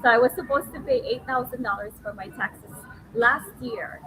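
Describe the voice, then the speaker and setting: A woman's voice: on the high side, moderate pace. A woman in her forties hosting a casual livestream in a chatty, giggly storytelling manner. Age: 40s. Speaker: livestream host, online business owner. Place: restaurant, waiting for food. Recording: noisy ambience of a meal venue.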